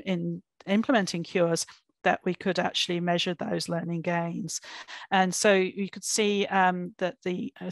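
A woman's voice: lowish voice, no room sound. A woman giving an online academic keynote over slides, thoughtful, with frequent fillers and restarts. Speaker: keynote speaker.

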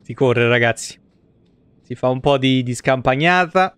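A man's voice: mid-range voice, measured pace, a bit bright, clear, resonant tone, no room sound. A man gives casual, joking, sweary live commentary on a game. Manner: informal, joking, swears freely. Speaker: live streamer. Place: indoor room, seated at a desk.

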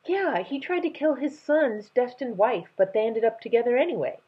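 A woman's voice: on the high side, lively intonation, moderate pace, husky, muffled recording, voice pushed up. A woman voicing a curious young girl in a storybook folktale, with an eager, questioning manner.

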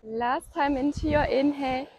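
Calm high-voiced woman cueing yoga poses. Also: moderate pace, no room sound. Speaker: yoga instructor. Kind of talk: guided workout instruction.

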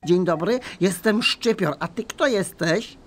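A woman's voice: lowish voice, a little slow, over loud music, natural voice. A woman voicing a young, naive, polite chive boy with hesitant, innocent delivery in a children's radio play. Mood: curious.

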